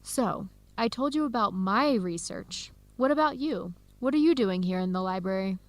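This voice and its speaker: A woman's voice: mid-range voice, lively intonation, moderate pace, no room sound, lightly acted. A woman playing a friendly young Ethiopian American grad student with an American accent in a radio drama, speaking in a conversational way. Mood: interested. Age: young adult. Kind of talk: educational radio drama acting.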